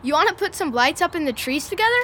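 A woman's voice: extremely high pitch, animated, fast talker, fairly bright tone, slight background noise. A woman playing an earnest, inquisitive young boy, a son, in an audio drama, with a youthful voice. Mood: curious, tentative.

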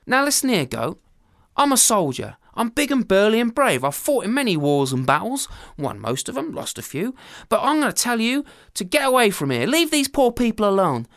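A woman's voice: on the high side, big pitch swings, a little fast, few pauses, no room sound, clean studio sound, pitched above the performer's own voice. Woman doing a blustering burly soldier. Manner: blustering, bossy. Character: brash boastful burly male soldier.

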